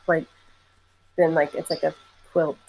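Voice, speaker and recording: Young woman singer chatting loose, kinda low voice, says "like" a lot, faint hiss behind. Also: flat delivery, measured pace, vocal fry, darkish tone, rough recording. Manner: chatty, many "like" fillers, laughs easily. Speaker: singer and musician. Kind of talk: casual conversation.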